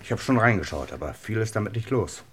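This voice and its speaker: An actor playing an eager, plain-spoken police assistant in a German radio crime drama, dutiful and matter-of-fact. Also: male voice, fairly low pitch, expressive, quick pace, noisy background, close to an everyday voice.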